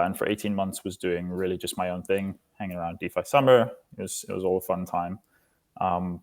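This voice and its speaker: Man, twenties, from Singapore, deep low-pitched voice, interview guest, explanatory conversational answers. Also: darkish tone. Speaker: interview guest, foundation lead.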